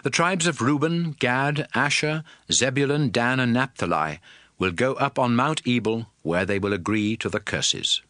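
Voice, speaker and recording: Guy playing a commanding old testament prophet, solemn scripture drama, bright forceful voice, very dry close sound. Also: mid-range voice, a little slow, dry recording. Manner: solemn, emphatic, declamatory. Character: Moses, commanding male prophet and lawgiver addressing his people.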